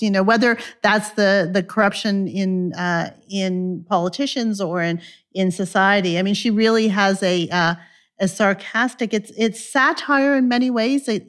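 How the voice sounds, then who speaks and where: A woman interviewing an author, speaking thoughtfully with frequent pauses and fillers. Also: mid-range voice, clean tone. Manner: thoughtful, halting with fillers, enthusiastic. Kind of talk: conversational interview.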